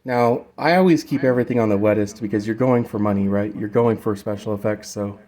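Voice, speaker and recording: A man's voice: fairly low pitch, a little fast, darkish tone. Male presenter, informal and rambling, for a casual hands-on gear demo. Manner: informal, rambling, trails off mid-explanation. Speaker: presenter demonstrating a vocal effects unit.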